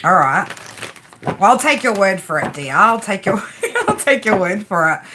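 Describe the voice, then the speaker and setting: A woman's voice: mid-range voice, very animated, normal speed, very noisy. Chatty Australian woman reading tarot. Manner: chatty, playful, slangy, reads chat comments aloud. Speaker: tarot reader. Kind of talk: casual live-stream chat and reading.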